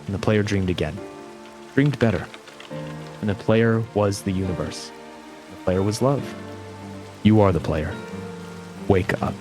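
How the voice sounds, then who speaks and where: A man giving a solemn, theatrical reading of a poem in a storytelling style, with deliberate pauses. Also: lowish voice. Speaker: dramatic reader. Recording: voice laid over background music. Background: music.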